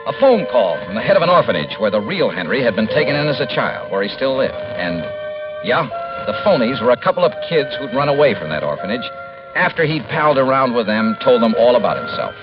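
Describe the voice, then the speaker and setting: Actor as brisk radio detective, animated, dull vintage sound. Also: male voice, medium pitch, lightly acted. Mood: wry, brisk. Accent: American. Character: brisk, shrewd male insurance investigator, commanding and wry. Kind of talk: 1950s radio detective drama acting and narration. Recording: dull old radio recording with music behind.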